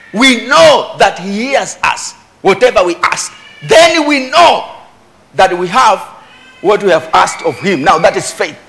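A middle-aged Kenyan man preaches from a church stage in a high-pitched voice. His delivery is emphatic, repeating key phrases to drive them home. He speaks with a Kenyan accent into a handheld microphone. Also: creaky, bright, forceful tone. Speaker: pastor. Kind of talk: animated church sermon with Swahili asides. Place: church stage before a congregation.

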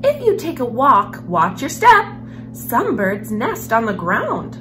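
A young woman hosting a children's story time, reading a picture book aloud in an expressive storyteller's manner. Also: a little high, slow pace. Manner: expressive, animated storyteller, with hushed passages. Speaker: host of a children's read-aloud series. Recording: music behind the voice. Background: background music.

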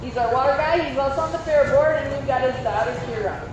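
A woman's voice: high voice, fast talker, recorded from a distance. A woman announcing a fair contest, giving upbeat play-by-play and countdowns from a covered arena. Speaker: event announcer. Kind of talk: live event commentary. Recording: echoey PA sound from afar, crowd noise.

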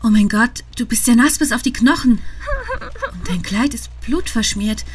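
A woman's voice: on the high side, moderate pace, close to an everyday voice. An actress playing an irritable, sarcastic woman driver in a horror audio drama. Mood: concerned, hurried. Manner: sarcastic, exasperated. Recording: clear rain and car noise behind.

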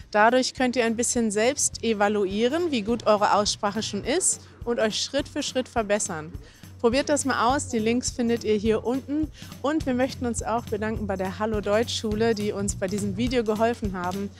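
A young woman presenting a language lesson on a busy city street, talking clearly and upbeat into a handheld mic. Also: fairly high pitch. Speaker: host.

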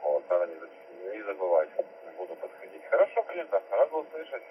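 A man's voice: deep voice, big pitch swings, brisk. A man heard over a radio transmission, making casual amateur radio contact in polite, short choppy phrases. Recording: narrow-band noisy radio speaker playback.

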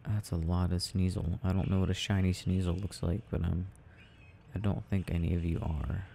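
A man with a mellow, gravelly voice rambles through a video game in an ASMR style. He muses to himself haltingly and takes his time, with slight noise behind him. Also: low-pitched, level tone. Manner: murmured, halting, musing to himself. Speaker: audio performer playing a boyfriend gaming.

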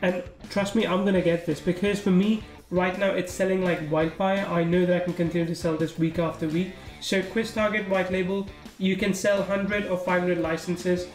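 High-voiced man touring software pages. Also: flat delivery, normal speed, hardly any pauses, echoey room, soft background music. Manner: casual, thinking aloud while clicking through pages. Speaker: software reviewer. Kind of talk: screen-recorded product walkthrough.

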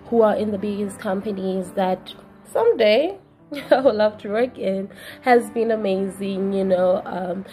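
Woman with a mellow, relaxed voice. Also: mid-range voice, measured pace. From South Africa. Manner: relaxed, uses fillers. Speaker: software engineer. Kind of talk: casual testimonial.

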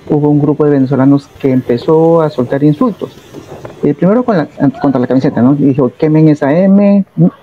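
A Peruvian man in his thirties telling a personal travel story straight to the camera, reflective and steady, often adding little tag questions. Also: fairly high pitch, phone-quality sound. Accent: Peruvian Spanish. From Peru. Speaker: vlogger.

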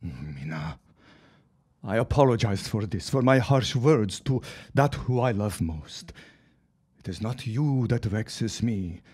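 A man's voice: fairly low pitch, expressive, slow, a little mellow, breathy edge, exaggerated voice. An actor playing a troubled, remorseful immigrant husband and reluctant henchman in an audio drama, speaking in a formal, melodramatic, lamenting way. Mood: remorseful, weary. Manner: melodramatic, lamenting, formal old-fashioned phrasing.

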